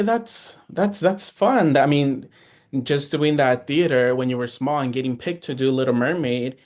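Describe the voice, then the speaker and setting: A man hosting an interview, asking rambling, hesitant questions with plenty of fillers. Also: medium pitch. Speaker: interview host.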